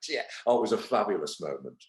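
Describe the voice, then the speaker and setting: Man, lowish pitch, casual reminiscing, pantomime performer, echoey room sound. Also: lively intonation, fast, crowd noise. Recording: roomy, echoing sound.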